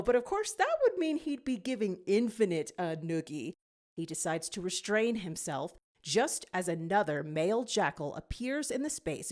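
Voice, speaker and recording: Animated woman narrating a story. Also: on the high side. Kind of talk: expressive scripted story narration.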